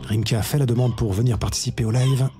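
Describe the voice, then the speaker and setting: A man hosting a live-streamed quiz in a relaxed, chatty way, often correcting himself. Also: mid-range voice, fast, over music. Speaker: quiz show host.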